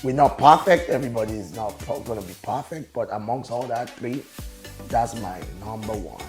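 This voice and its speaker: A man with a raspy, mid-pitched voice tells his story in a relaxed, confident way. He sounds like a guest on an interview show. The room is roomy and echoey, with faint music underneath. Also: moderate pace. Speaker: guest, business owner and show promoter. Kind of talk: casual interview talk.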